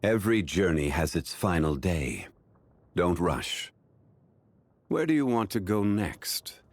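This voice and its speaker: A man voicing a calm, dignified ancient sage in a video game, speaking in a formal, measured, philosophical manner. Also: low-pitched, dry recording, full character voice. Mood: composed, courteous. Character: calm, dignified ancient male sage in human form, courteous and learned. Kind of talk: video game character voice lines.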